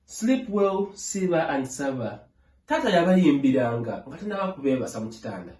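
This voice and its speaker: A man narrating a children's story aloud in a measured, phrase-by-phrase read-along style. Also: medium pitch, big pitch swings, darkish tone. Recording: echoey room.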